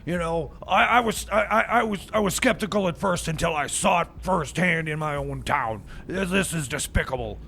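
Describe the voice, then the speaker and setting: A man playing a paranoid, ranting mechanic in a radio comedy skit, stammering and repeating himself indignantly in a raspy, gravelly voice. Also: a little high, moderate pace, few pauses, bright, forceful tone, a little hiss or hum. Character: ranting paranoid holiday culture-warrior mechanic. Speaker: time machine mechanic character on a radio show.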